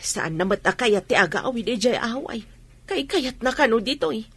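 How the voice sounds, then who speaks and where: A woman's voice: a little high, lively intonation, moderate pace, slightly rough. A woman playing a worried, fretful village mother in a radio drama. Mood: nagging, resigned. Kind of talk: radio comedy drama dialogue.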